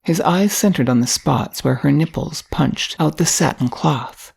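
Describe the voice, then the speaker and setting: An older woman with a husky, gravelly voice reading a scripted story in a measured storytelling manner. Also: deep voice, a little mellow. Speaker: narrator.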